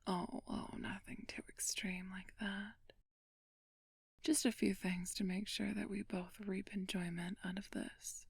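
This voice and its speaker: A woman playing an ancient vampire thief in an ASMR roleplay, flirtatious and imperious, speaking in a breathy, teasing, commanding whisper. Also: medium pitch, little pitch movement, no room sound, studio quality. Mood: seductive, smug. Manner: slow, teasing, commanding, haughty.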